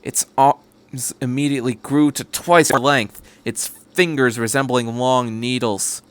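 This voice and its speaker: A man's voice: mid-range voice, fairly even intonation, measured pace, clear, resonant tone, no echo. A man reading a story aloud as a podcast host, in scripted narration. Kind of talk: scripted narration of fan fiction.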